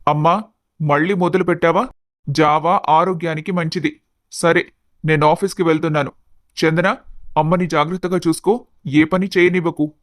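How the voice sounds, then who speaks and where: A man playing a gently chiding male relative in an animated family story. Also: fairly high pitch, normal speed, long pauses, no echo, clean studio sound, close to an everyday voice. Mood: earnest, chiding.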